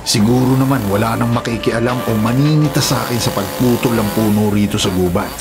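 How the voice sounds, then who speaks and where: A man's voice: mid-range voice, brisk, vocal fry, over music, natural voice. A man playing a hot-tempered, defiant illegal logger in a radio drama, with a threatening, domineering delivery. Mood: gruff, suspicious. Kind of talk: Filipino radio drama acting.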